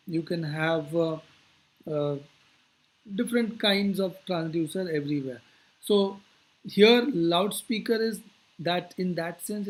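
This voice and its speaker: A physics teacher giving an explanatory lecture, phrasing things deliberately and posing rhetorical questions. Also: mid-range voice, rough recording.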